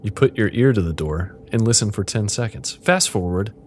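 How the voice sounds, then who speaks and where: Male game master, low register, casual and playfully literal narration, background noise on the track. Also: lively intonation, normal speed, darkish tone.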